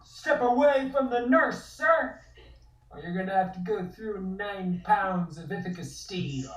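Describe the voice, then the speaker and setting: A man's voice: sits high, unhurried, a bit bright. A man voicing an awkward, bashful but loyal guy with hesitant delivery in a table read, from afar in an echoey room. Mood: blustering. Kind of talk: dramatic table read of a comic script. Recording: distant, echoey room sound.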